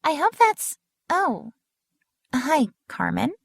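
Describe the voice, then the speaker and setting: A naive, ditzy teenage girl from an audio drama. She is very high and lively in pitch, with a fry edge to her words. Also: no echo, clean studio sound, heavily acted. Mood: sweet. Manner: naive, slow-witted.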